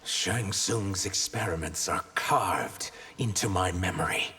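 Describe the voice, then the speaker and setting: A man voicing a stern, guarded warrior in a fighting game, with a husky, rough voice. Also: deep voice, slow pace, noisy background. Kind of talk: fighting game character dialogue.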